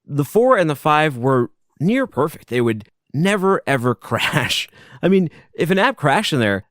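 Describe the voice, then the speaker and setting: A man hosting a solo podcast from his basement, talking loudly and casually, rambling and chuckling as he goes. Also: on the high side, a little slow, no echo, clean studio sound. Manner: animated, rambling, self-interrupting, chuckles often; loud voice. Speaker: podcast host. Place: home basement.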